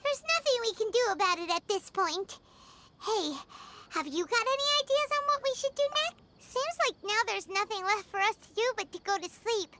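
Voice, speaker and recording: Squeaky, excitable little fairy companion. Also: female voice, moderate pace, background noise. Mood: worried, downcast. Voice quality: squeaky, childlike. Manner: speaks of herself in third person, dramatic. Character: tiny high-pitched childlike fairy companion, excitable, anxious. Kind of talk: animated video game voice acting.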